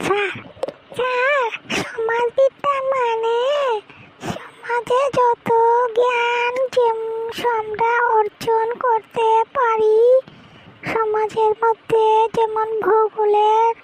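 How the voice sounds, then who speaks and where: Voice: very high-pitched, flat delivery, slow pace, long pauses, a little hiss or hum, low-quality recording. A performer voicing an earnest young schoolgirl in a comic cartoon, giving rambling answers. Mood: eager, rambling.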